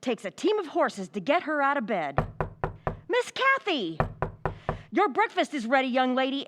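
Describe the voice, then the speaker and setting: An actress playing a bossy, folksy housekeeper in a radio drama, scolding and easily panicked. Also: female voice, high-pitched, noisy background. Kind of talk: 1940s radio acting. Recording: old-time radio recreation with music.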